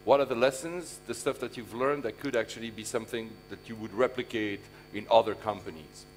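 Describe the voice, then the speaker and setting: A man's voice: mid-range voice, fairly quick, faint music behind, poor audio. A man moderating a live panel, asking informal, chatty questions and addressing the audience directly.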